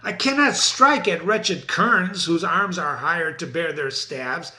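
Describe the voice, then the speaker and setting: A man's voice: fairly high pitch, slow pace, pushed and bright, echoey room, heavily acted. A man playing a vengeful, grieving warrior nobleman, calling out challenges in archaic verse.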